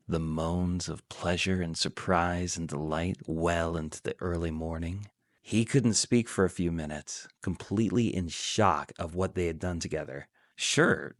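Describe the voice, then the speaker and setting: A man's voice: low-pitched, no room sound, studio quality. A man reading scripted fan fiction as the narrator, telling the story in prose.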